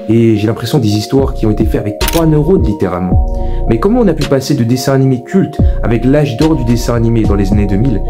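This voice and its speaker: A young man hosting a video essay, giving informal, opinionated commentary in an emphatic, repetitive, colloquial way. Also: medium pitch.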